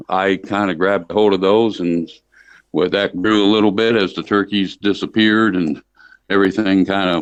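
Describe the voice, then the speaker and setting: An older American man from Michigan talking conversationally as an interview guest, plain-spoken and folksy, often repeating words as he goes. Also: deep voice. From Michigan, United States. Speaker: interview guest, livestock association chief executive. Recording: dull lo-fi line in a roomy space.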